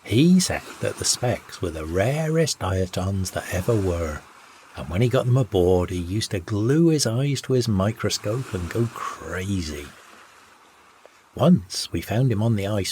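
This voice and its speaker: Male audiobook narrator for old seafaring tales, lowish voice, very varied pitch, old-fashioned raconteur delivery. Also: sing-song, measured pace, a little mellow, a little hiss or hum. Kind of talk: scripted storytelling, old sea yarn read aloud.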